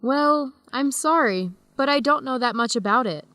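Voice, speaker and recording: A woman's voice: sits high, animated, normal speed, fairly bright tone, no room sound. An actress playing a friendly, easygoing young woman student traveler in a radio drama for English learners. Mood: amused. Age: young adult.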